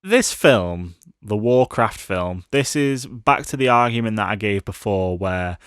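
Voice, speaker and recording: A man's voice: fairly low pitch, lively intonation, a little slow, fairly bright tone, smooth, no echo, clean studio sound. A man hosting a casual podcast about films, talking in a chatty, jokey, bantering way. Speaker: podcast host. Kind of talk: casual film discussion podcast.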